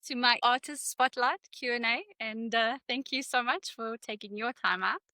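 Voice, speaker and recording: A woman's voice: on the high side, moderate pace, pushed and bright, faint music behind. A woman hosting a question-and-answer interview, friendly and working through prepared questions. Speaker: interviewer host.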